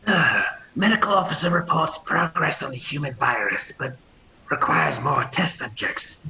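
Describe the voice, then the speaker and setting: A man voicing an obedient junior science officer in a space pirate drama, reporting formally and haltingly. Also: a little high, creaky, bright, forceful tone, a little hiss or hum, exaggerated voice, low-quality recording. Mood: dutiful.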